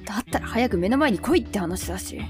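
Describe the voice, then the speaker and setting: A woman's voice: mid-range voice, lively intonation, fast, music in the background, natural voice. A woman playing a frustrated young woman on a search, talking to herself in colloquial bursts in an audio drama. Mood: annoyed. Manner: muttering to herself, colloquial, exclamatory. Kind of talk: Japanese audio drama acting.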